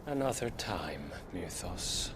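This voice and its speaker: An actor playing a condescending, menacing male villain in a video game cutscene, with a mocking, drawn-out manner. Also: low-pitched, big pitch swings, very noisy, full character voice. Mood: mocking calm.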